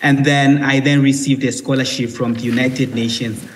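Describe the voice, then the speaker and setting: Young man, mid-pitched, headset call audio with long reverb, steady and earnest panelist delivery. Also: normal speed, a little hiss or hum. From Burundi, grew up in Zimbabwe. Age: young adult. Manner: earnest, steady, with frequent "uh" fillers. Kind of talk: conference presentation over video call. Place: indoor room, remote from Italy. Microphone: headset on a video call.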